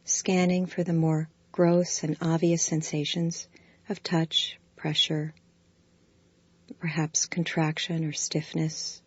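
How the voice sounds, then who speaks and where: A woman with a notably low, deep voice guides a body scan meditation in slow, soft, calm phrases. The recording is dry and close, with a slightly dull, muffled tone. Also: breathy edge, no echo.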